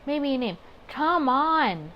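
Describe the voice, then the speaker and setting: A woman's voice: sits high, big pitch swings, slow, highs cut off, slight background noise. A woman doing a casual game playthrough, chatting expressively as she reads story text aloud and reasons through her reactions. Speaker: gaming video creator.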